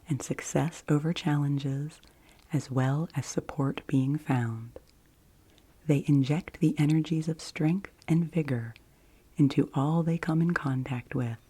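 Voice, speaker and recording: A woman hosting ASMR, speaking gently and soothingly, slipping often between soft speech and whispers. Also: extremely low pitch, breathy edge, no room sound. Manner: slow, gentle, soothing delivery with frequent whispering. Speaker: ASMR video host.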